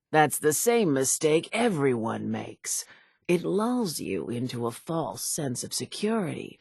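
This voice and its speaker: An actress playing a vengeful old island widow in a video game, telling grim tales in a raspy, folksy, theatrical way. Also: female voice, rumbling low, dry recording, studio quality, full character voice. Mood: defiant. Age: older. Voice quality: raspy, gravelly. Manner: folksy, dramatic storytelling drawl. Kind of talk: video game character dialogue.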